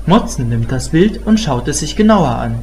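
Casting: male narrator for comedy audio play, fairly high voice, clearly noisy home-style recording. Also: big pitch swings, normal speed, a little mellow. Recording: noticeable background noise.

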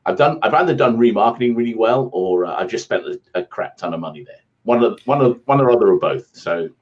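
A man's voice: lowish voice, animated, fast, darkish tone, highs cut off. A British man in his forties telling his career story in a rambling, self-deprecating way, over a video call from a home office. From England. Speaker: guest, ad agency founder. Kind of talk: casual interview storytelling. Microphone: earphone headset on video call.

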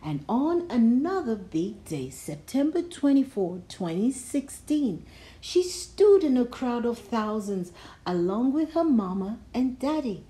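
A woman reading a children's storybook aloud, measured and expressive, with dramatic emphasis on key phrases. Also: fairly high pitch. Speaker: storybook reader.

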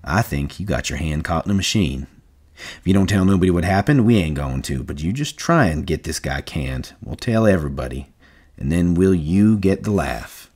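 A man's voice: low-pitched, expressive, a little fast. A man voicing a stern, level-headed ranch worker with terse, commanding rustic speech. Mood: quietly firm.

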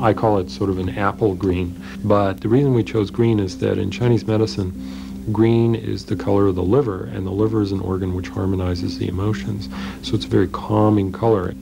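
A man, a healthcare practitioner, giving relaxed, halting explanations full of fillers in a documentary interview. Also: fairly low pitch, creaky. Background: music.

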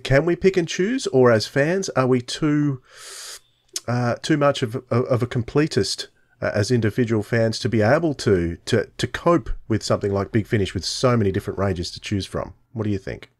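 A middle-aged man, hosting a casual podcast chat in halting, hesitant phrases. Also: medium pitch, dry recording, studio quality. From Australia. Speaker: podcast host. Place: indoors on a video call, close dry sound.

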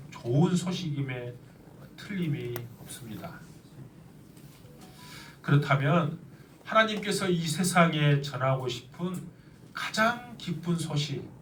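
A middle-aged male pastor preaching a sermon, his voice slightly nasal from a cold, earnest and slowing down for emphasis. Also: medium pitch, fairly bright tone, slight background noise. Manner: earnest, with slow emphatic stretches. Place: church sanctuary. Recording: echoey church, mic at a distance.

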